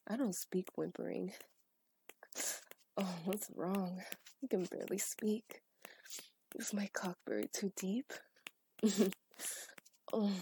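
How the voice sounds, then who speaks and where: A woman in a scripted adult audio roleplay, speaking as a dominant partner in a breathy, teasing, commanding whisper. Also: medium pitch, darkish tone, a little hiss or hum.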